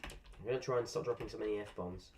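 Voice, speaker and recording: A man's voice: fairly low pitch, quick pace, slight background noise. A man chatting casually while live-streaming a game, rambling through asides and swearing at the game now and then. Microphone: desk microphone.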